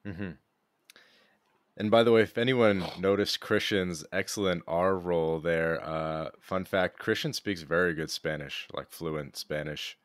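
Deep-voiced podcast host, dry sound. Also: male voice, a little slow, no echo. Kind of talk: casual interview.

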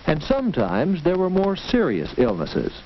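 A dull, faintly noisy and clicking vintage film soundtrack carries an American man's voice. He narrates in the scripted style of a 1940s school film, instructive and measured. His mid-pitched voice rises and falls in a lively way as he tells the story.

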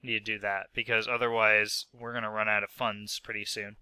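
Young man with a head cold, rambling and self-mocking game commentary, bright smooth voice, dry close mic. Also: lowish voice, level tone, brisk, no room sound. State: sick with a stuffy nose. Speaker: gaming video host. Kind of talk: casual gameplay commentary.

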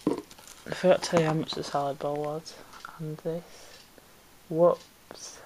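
A woman's voice: low-pitched, level tone, slow pace, a little mellow, slight background noise, poor audio. A woman chatting casually as she unboxes pet supplies at home, a little congested from a cold and rambling with ums. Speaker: pet vlogger. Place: indoors at home, camera pointed down into a box.

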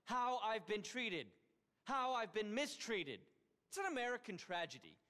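An actor playing an eccentric, egotistical, paranoid tech billionaire with a pompous keynote-style delivery in a video game. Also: male voice, high voice, very animated, normal speed, pushed and bright, heavily acted. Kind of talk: video game cutscene acting. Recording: long reverb of a cavernous hall.

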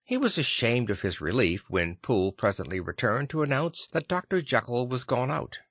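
A man reading classic fiction as an expressive audiobook narrator. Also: mid-range voice, moderate pace, breathy edge, dry recording, studio quality. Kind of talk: expressive scripted literary narration.

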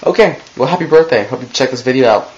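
A man's voice: medium pitch, expressive, quick pace, darkish tone, dull audio. A teenage boy recording a rambling, goofy birthday message on a webcam in his small bedroom. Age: teens. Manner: goofy, rambling, self-interrupting. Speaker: vlogger. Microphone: webcam close to face.

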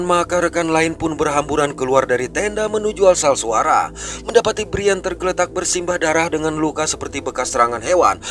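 A man narrating a film recap in a brisk, scripted storytelling way. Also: on the high side, a bit bright. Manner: brisk storytelling, rising and falling delivery.

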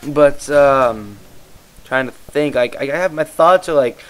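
Rambling young man, smooth voice. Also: medium pitch, slow pace. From Midwest US. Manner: rambling, distracted, loses his train of thought. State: tired. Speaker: YouTube gaming commentator. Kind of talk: casual voice-over commentary over gameplay.

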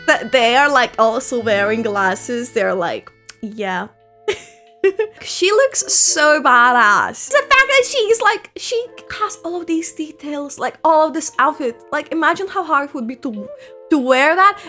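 Young woman, gushing reaction commentary, unhurried pace, lively swooping intonation, exclamatory, music in the background. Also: high-pitched. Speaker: reaction video host. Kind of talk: excited casual reaction commentary. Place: home room at a desk, indoors. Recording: game trailer music behind voice. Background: trailer music and game dialogue.

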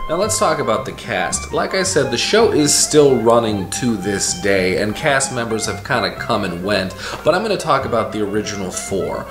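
A man in his thirties hosting a casual explainer vlog from his living room couch, enthusiastic and lively as he tells stories. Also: lowish voice, normal speed, few pauses, echoey room, music in the background. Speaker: video host.

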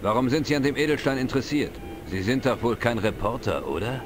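An actor playing an older, knowledgeable, wry pub regular in an adventure game, explaining things in a dry, measured way. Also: male voice, medium pitch, lively intonation, noisy background, lightly acted. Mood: dry, gossipy. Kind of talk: dubbed adventure game dialogue. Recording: music behind the voice. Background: game music.

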